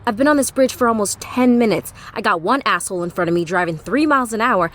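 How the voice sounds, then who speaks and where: A woman's voice: medium pitch, expressive, fast, fairly bright tone, natural voice. Actress as a sassy bossy ceo woman, swears a lot, voice swings all over, faint traffic noise. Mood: irritated. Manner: sassy, profane, emphatic. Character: brash, foul-mouthed, bossy woman CEO, easily exasperated. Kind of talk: comic audio play acting.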